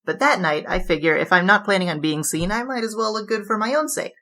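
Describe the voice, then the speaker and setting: An actress playing a boastful, flamboyant female bandit king in an audio drama, with a theatrical, grandiose, quick-witted delivery. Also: mid-range voice, sharp tone, natural voice.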